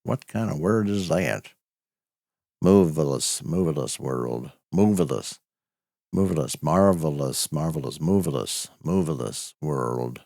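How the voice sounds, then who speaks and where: A man's voice: rumbling low, expressive, measured pace, creaky, a little mellow, no room sound, clean studio sound. A man hosting and narrating a cowboy audio show in a folksy, homespun way.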